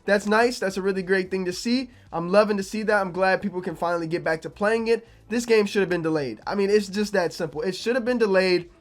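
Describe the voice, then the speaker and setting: A young man, a gaming YouTuber, talking casually to his viewers with a slightly raspy, low-energy voice from being sick. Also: quick pace, hardly any pauses. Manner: conversational, repeats himself, low energy. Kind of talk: casual opinion vlog to camera.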